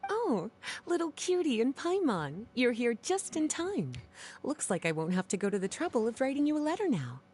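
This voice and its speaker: Game role: a lazy, teasing witch-librarian, smooth and a touch high, with a lilting voice. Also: very animated, normal speed, a little mellow, slight background noise.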